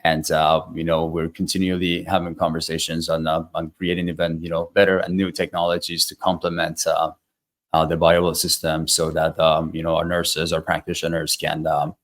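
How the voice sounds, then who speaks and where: A man with a slightly raspy voice answering as an interview guest in a halting, conversational way. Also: extremely low pitch, completely flat delivery, fairly bright tone. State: slightly raspy voice from dust after travel. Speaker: guest, wellness practitioner.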